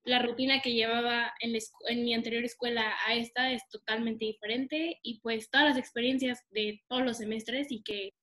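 Young girl on a podcast, bright high voice, giggles a lot, lots of ums. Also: fairly even intonation, normal speed, few pauses. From Mexico. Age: young adult. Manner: giggly, hesitant, with many fillers. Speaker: podcast co-host. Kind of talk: casual podcast chat. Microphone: remote call recording.

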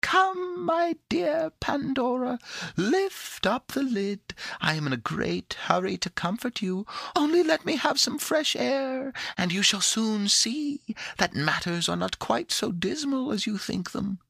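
A man's voice: very high voice, very animated, unhurried, vocal fry, pushed and bright, no echo, voice pushed up, full character voice. A man voicing a tiny, sweet, cheerful female fairy for a storybook, with a coaxing, sing-song manner. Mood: playful coaxing. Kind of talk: storybook character voice.

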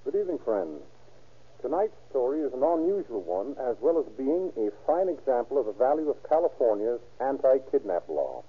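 A man's voice: medium pitch, sing-song, moderate pace. A man giving a formal, emphatic scripted address as a police captain on 1930s radio. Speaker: police captain introducing a crime story. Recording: muffled, husky-sounding 1930s radio recording.

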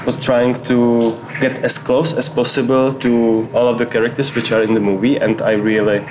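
Flat-toned man, hesitant filmmaker. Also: medium pitch, moderate pace. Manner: hesitant, many uh fillers, non-native phrasing. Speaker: filmmaker guest. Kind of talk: interview answers. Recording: noticeable background noise.

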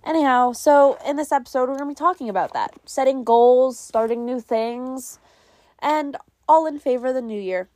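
A woman's voice: sits high, moderate pace, a little mellow, dry recording. Stuffy-nosed young woman rambling cheerfully. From New England, US. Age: young adult. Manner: rambling, chatty, self-mocking. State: stuffy with a cold. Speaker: podcast host. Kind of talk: casual solo podcast chat.

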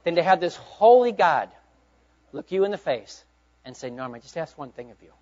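A middle-aged man preaches with a notably high voice, pressing his points with emphatic bursts and then falling to hushed asides. The recording is dull and lo-fi, with the top end muffled. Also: sing-song, normal speed. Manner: emphatic preaching, swinging between loud urging and hushed asides. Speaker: pastor. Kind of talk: sermon. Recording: dull lo-fi sound.

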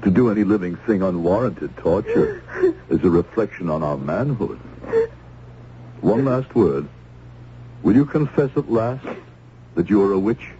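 An actor playing a solemn, stern but compassionate minister in a radio drama, speaking gravely and with measure. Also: male voice, fairly low pitch, huge pitch swings, a little slow, long pauses, mellow. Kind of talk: old-time radio drama acting. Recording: dull, noisy old radio recording.

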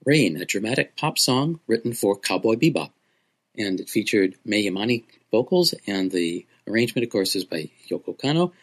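Low-pitched man presenting music commentary. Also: lively intonation, moderate pace. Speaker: host of a music segment.

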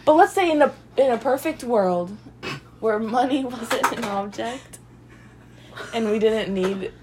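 A woman's voice: on the high side, expressive, a little slow, noisy background. A young woman co-hosting a casual chat, sounding stuffy and congested and rambling with giggles and fillers. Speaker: podcast co-host.

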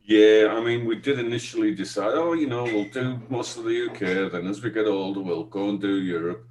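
A man's voice: fairly low pitch, fairly quick, a little mellow. A man in his forties with a Northern English accent, chatting casually as a podcast guest and telling anecdotes. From Northeast England, UK. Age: 40s. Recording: roomy sound with faint hum.

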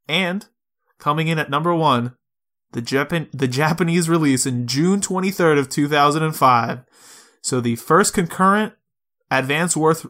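A man's voice: fairly high pitch, bright, forceful tone. A man chatting casually as co-host of a gaming podcast, informal and prone to casual swearing.

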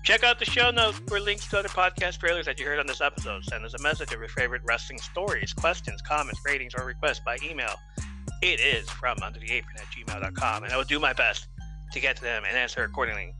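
A man hosting a casual podcast, reading listener shout-outs and the outro in an easygoing, rambling way with a soothing voice. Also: medium pitch, expressive, quick pace, music in the background, rough recording. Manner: easygoing, rambling, lots of fillers and laughs. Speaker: podcast host.

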